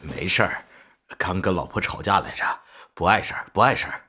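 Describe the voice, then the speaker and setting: An actor playing a sheepish, henpecked working-class man in his forties in an animated crime drama, deferential, folksy and hesitant. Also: sits low, brisk, breathy edge, heavily acted. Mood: sheepish, good-humored. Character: sheepish, henpecked 45-year-old working-class male driver.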